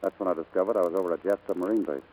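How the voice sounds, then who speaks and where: An actor playing a respectful, clipped Navy flier in a 1940s radio drama. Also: male voice, sits low, steady tone, rapid-fire, dark tone. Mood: respectful, tense. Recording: muffled vintage 1940s radio recording.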